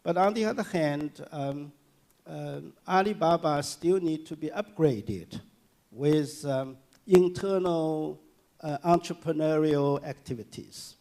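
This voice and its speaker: A man giving a public lecture and answering audience questions, speaking haltingly with many fillers and restarts. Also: fairly high pitch, gravelly, mellow. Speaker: guest lecturer. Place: lecture hall.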